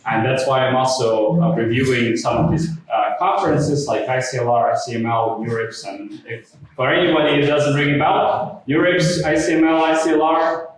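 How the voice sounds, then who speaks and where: A man's voice: medium pitch, normal speed. A man gives a friendly, chatty talk to a room of students. He sounds a bit distant from the mic, with strong echo filling the hall. Faint room noise sits beneath him. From Ukraine. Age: 30s. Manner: friendly, chatty, self-deprecating. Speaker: lecturer. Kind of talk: informal lecture. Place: lecture venue. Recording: echoey hall, mic far off.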